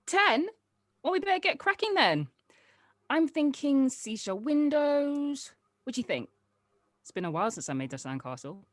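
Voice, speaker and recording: A woman plays a grown-up chatting with a young child in an audio drama read. The delivery is casual and teasing, with guarded edges. The pitch moves around freely and the sound is close and dry. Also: sing-song, moderate pace, dry recording. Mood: playful, teasing. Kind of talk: acted script table read.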